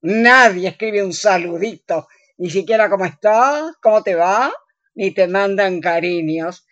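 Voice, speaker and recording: A woman's voice: medium pitch, measured pace, fairly bright tone, no echo, dull audio, natural voice. A woman playing a grumpy, sardonic older lady in a comedy radio play, complaining emphatically in a Rioplatense Argentine accent. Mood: griping.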